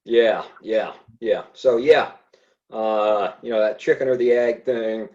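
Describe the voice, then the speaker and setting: A man's voice: lowish voice, steady tone, takes it slowly, a little mellow, lo-fi sound. A man who stutters, speaking in a hesitant way with many fillers and restarts in a casual podcast conversation. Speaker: podcast guest.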